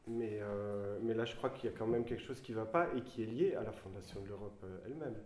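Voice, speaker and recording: A man's voice: fairly low pitch, fast, a little mellow. Hesitant French man in echoey hall. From France. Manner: hesitant, searching for words. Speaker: panelist. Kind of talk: public discussion. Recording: roomy hall sound.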